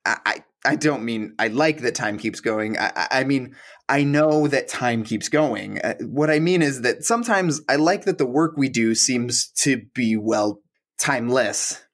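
An actor playing a shy, awkward young map conservator in a romantic comedy audio play, stammering and rambling nervously. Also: male voice, medium pitch, lively intonation, a little slow, a bit bright. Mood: nervous, earnest. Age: young adult.

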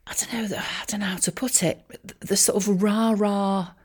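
A woman talking casually in a rambling, self-deprecating monologue, with wry, gently ironic asides. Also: mid-range voice, fairly even intonation, moderate pace, slightly rough. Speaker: voiceover artist and career coach sharing a personal update.

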